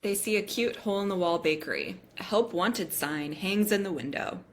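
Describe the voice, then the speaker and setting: A woman's voice: mid-range voice, moderate pace. A woman reading the stage directions of a script aloud as scripted narration at a table read.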